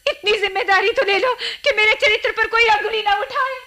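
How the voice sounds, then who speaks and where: An actress playing a despairing, wronged young wife in a radio play, melodramatic and pleading. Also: female voice, very high voice, fairly quick, sharp tone, heavily acted. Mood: anguished, pleading. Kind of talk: Hindi radio play acting.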